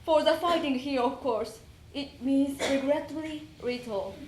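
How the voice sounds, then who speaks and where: A woman's voice: sits high, a little slow. A woman performing in readers theater, telling a story from a letter with earnest, emphatic phrasing. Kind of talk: dramatic storytelling recitation of a letter. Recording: roomy echo, faint background noise.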